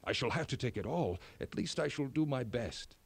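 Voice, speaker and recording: A man's voice: mid-range voice, lively intonation, moderate pace, creaky, slightly rough, no echo. Man reading as an earnest old storybook king. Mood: troubled. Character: good wise old king, earnest and grateful. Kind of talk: storybook character acting.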